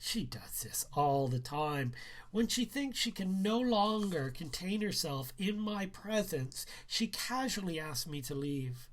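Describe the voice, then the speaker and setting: Man, author reading aloud, unhurried pace, animated pitch, expressive narration. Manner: measured, expressive, acts out dialogue. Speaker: author reading own book. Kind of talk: narrated live book reading, first-person teen story.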